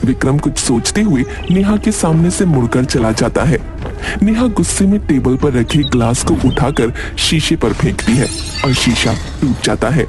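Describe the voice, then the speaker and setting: A man's voice: medium pitch, animated, normal speed, music in the background. A man reading scripted story narration for a dramatic tale.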